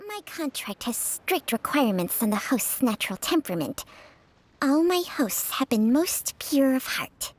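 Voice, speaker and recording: A wry old snake spirit. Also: female voice, high voice, sing-song, measured pace, full character voice. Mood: calm. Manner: dry, teasing, weary. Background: game score music.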